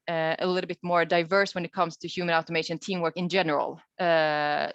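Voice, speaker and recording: A woman with a fairly low voice answers questions as a researcher in an online talk. She is thoughtful and hesitant, often pausing mid-sentence. The sound is dry and close, but somewhat dull and lo-fi. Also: fairly bright tone, no echo. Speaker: researcher presenting a study as webinar guest. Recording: dull, lo-fi online call audio.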